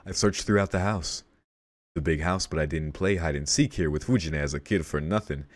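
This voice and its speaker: A man's voice: very deep voice, a little fast, no echo, clean studio sound. A man reading a visual novel aloud as a let's play host, with casual reactions and game music behind him. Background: visual novel soundtrack.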